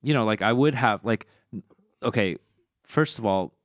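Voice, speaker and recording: A man's voice: fairly low pitch, normal speed, no room sound, clean studio sound. A man hosting a podcast, chatting casually and thinking aloud with many fillers. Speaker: podcast host.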